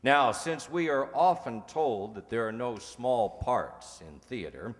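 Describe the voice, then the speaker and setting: A man's voice: medium pitch, expressive, takes it slowly. A man acting as master of ceremonies, making theatrical, jocular curtain-call introductions to a live audience. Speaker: troupe announcer and master of ceremonies. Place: hall with an audience. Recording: echoey hall, noisy live audience.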